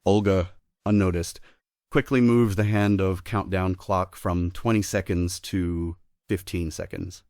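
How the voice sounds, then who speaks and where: A man serves as narrator, reading a play's stage directions from a script. His voice is deep, and the recording is dry with very little room sound. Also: fairly even intonation, measured pace, a little mellow, no room sound.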